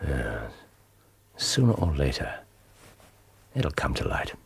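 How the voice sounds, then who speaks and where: An actor playing a brilliant, eccentric detective in a radio drama, speaking in a measured, dry, understated way. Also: male voice, rumbling low, big pitch swings, creaky, full character voice.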